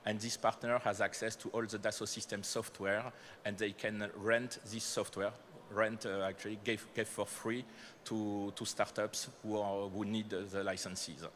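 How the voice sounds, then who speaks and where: A man with a French accent speaks in a slightly low voice, giving a presentation at a conference. He pauses often with fillers and corrects himself mid-phrase. A faint hum of room ambience sits behind him. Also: a bit bright. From France. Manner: hesitant, many fillers and self-corrections. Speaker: corporate speaker presenting startup programs. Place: conference hall.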